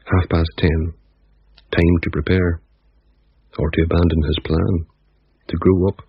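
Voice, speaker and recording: A man narrating a ghost story in a measured, gently wry reading. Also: low voice, level tone, slightly rough, lo-fi sound, faint music behind.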